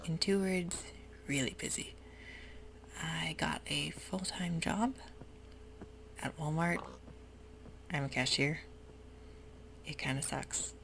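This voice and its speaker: A young woman whispering casual ASMR commentary while she plays a video game, talking in a soft, rambling way. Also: sits low, slow pace, long pauses. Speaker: ASMR gaming channel host. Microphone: desk mic while gaming. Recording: rough home recording with audible hiss.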